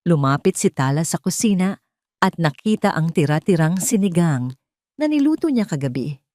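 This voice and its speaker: A woman's voice: on the low side, sing-song, normal speed, darkish tone, dry recording, clean studio sound. A woman narrating a scripted romance drama as a storyteller, in a dramatic style.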